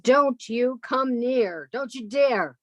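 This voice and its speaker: Woman as timid but cunning radio-drama sister, highish pitch, earnest, theatrical, dry close sound. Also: expressive, takes it slowly, no room sound. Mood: steely defiance. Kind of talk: old-time radio drama acting.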